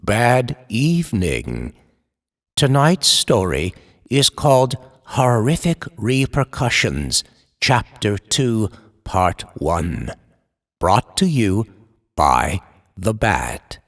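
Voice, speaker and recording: A man playing a sinister horror host in old-time radio style, welcoming listeners with theatrical, drawn-out, ominous delivery. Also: fairly low pitch, sing-song, no room sound, studio quality, pitched below the performer's own voice, full character voice. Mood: grim announcing. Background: music.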